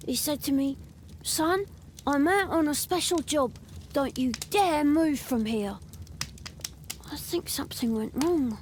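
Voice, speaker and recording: A woman's voice: high voice, a little slow, long pauses, slight background noise. A woman voicing an anxious, proud, poor young boy with a London accent, hesitant and respectful, in a video game. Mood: earnest, defensive.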